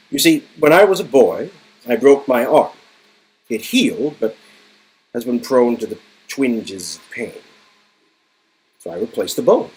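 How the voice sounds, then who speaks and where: A man's voice: medium pitch, a little mellow, slightly rough, poor audio. A man playing a grandiose, smug, absent-minded male inventor villain with a theatrical, stammering delivery in a dramatic reading of game dialogue. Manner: theatrical, stammering, self-important.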